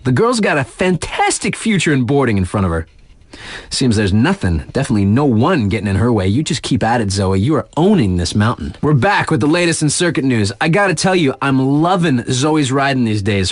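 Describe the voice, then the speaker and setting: A man's voice: mid-range voice, animated, brisk, no room sound, natural voice. A man playing an upbeat, laid-back young radio DJ in a snowboarding video game, with casual, hyped, slangy patter. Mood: hyped, admiring.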